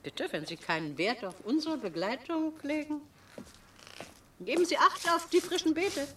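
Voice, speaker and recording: An actress playing a cool, manipulative genteel sister in a radio drama, speaking with haughty, precise irony. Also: female voice, high-pitched, animated, moderate pace, fairly bright tone, slight background noise. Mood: mocking, genteel. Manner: haughty, coolly ironic, precise. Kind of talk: German radio drama acting.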